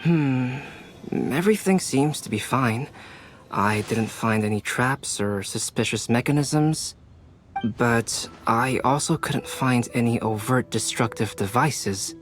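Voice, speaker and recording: Cautious, level-headed young man of learning for a game , male actor: medium pitch, composed. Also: a little hiss or hum, natural voice. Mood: calm, careful. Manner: measured, composed. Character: calm, analytical, cautious young male scholar. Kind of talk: video game character acting.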